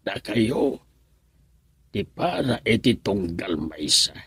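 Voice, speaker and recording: Radio drama elder: firm, kindly grandpa, low raspy voice, deliberate and halting. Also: male voice, big pitch swings, a bit bright, no echo, full character voice. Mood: earnest advice. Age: older. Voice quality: gravelly, husky old voice. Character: elderly grandfather, caring but firm advisor. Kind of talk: Ilocano radio drama acting.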